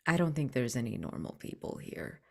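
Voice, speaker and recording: A woman playing a gentle, earnest young man in a tabletop game, hesitant and thoughtful, nervously polite. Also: a bass voice, very animated, brisk, gravelly, slightly rough, full character voice. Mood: wary, resolved. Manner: hesitant, thoughtful, with false starts. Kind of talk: in-character tabletop roleplay.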